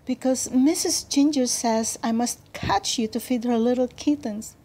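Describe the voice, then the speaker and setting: A woman voicing a tiny, gentle five-year-old girl in a storybook, speaking in a polite, pleading manner. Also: fairly high pitch, measured pace. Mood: sobbing.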